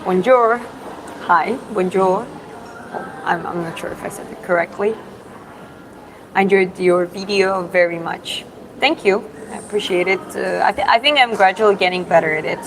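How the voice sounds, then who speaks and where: A woman from Georgia, hosting a casual livestream Q&A and answering chat in an unhurried, thoughtful way with many fillers and restarts. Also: mid-range voice, background noise. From Georgia (country). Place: public spot in Da Nang with other people around.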